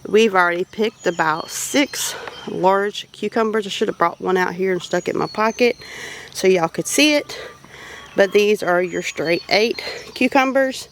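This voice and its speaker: A woman's voice: medium pitch, a little slow, slight background noise. A woman with a Southern US accent giving a casual, chatty garden tour in a folksy way. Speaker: homesteading vlogger. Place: outdoors in a home garden. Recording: outdoor ambience with animal noises. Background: birdsong and farm animal sounds.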